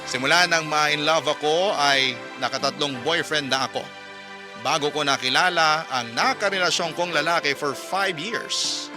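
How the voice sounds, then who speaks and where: A man hosting a radio show, reading listeners' letters aloud as sentimental stories in a measured, earnest way. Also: a little high. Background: music bed under the voice.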